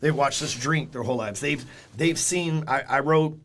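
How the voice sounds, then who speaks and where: A man's voice: medium pitch, moderate pace, fairly bright tone. A man in his forties, a guest in a casual interview, telling stories in a blunt, candid way and swearing freely. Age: 40s.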